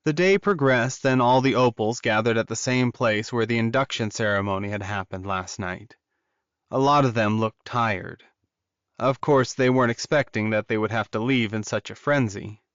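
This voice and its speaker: A man's voice: medium pitch, normal speed, fairly bright tone, clean tone, dry recording, dull audio. A man narrating fiction as a scripted audiobook.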